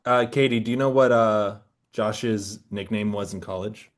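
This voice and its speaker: A man hosting a casual interview chat, self-deprecating and quick to giggle. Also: lowish voice. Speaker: podcast host.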